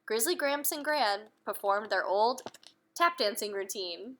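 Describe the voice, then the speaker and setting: A woman reading a children's story aloud in an animated manner, pausing to put questions to young listeners. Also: fairly high pitch, a little hiss or hum. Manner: animated, addresses children directly. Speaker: picture-book reader.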